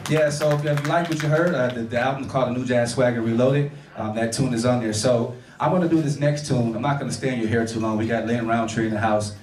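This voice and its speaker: A man's voice: mid-range voice, fast, hardly any pauses. A man from Detroit, a jazz horn player, chatting casually with the audience between songs, his voice a little worn. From Detroit, Michigan. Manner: relaxed, chatty, asks the crowd questions. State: losing his voice. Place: live club show with audience. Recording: roomy live club recording from a distance, crowd noise.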